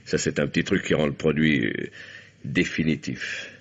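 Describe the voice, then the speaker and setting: An actor playing a cold, menacing crime boss in a vintage French radio drama, cynical and casually commanding. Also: male voice, extremely low pitch, steady tone, moderate pace, breathy edge, heavily acted. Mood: coolly calculating. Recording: dull lo-fi old radio recording.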